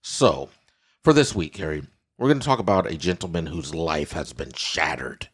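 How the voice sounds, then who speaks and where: A very deep-voiced man with a slightly raspy voice hosts a casual podcast. He tells stories with animated repetition and keeps the chat loose and playful. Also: normal speed. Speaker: podcast host.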